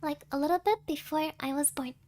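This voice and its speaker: A woman's voice: sits high, fairly even intonation, fairly quick, dark tone. A girlish-sounding woman VTuber chatting casually with a cutesy, giggly manner, dropping in Japanese words. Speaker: VTuber host and streamer. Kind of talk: casual chat and soft-spoken ghost storytelling.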